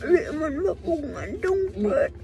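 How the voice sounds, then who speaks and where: A woman's voice: high-pitched, big pitch swings, measured pace, creaky, full character voice. A woman with a Cebuano accent playing a playful, flirtatious lover in a radio drama, giggly and coy. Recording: noisy radio drama track.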